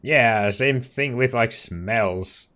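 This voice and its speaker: A man's voice: mid-range voice, drawn-out words, bright, forceful tone. A man giving casual let's play commentary on a game, musing and reacting aloud in short remarks.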